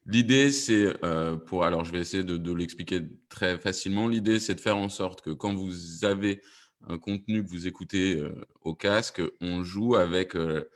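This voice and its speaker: A man speaking as a podcast producer on a discussion panel, explaining things and often rephrasing as he goes. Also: low voice.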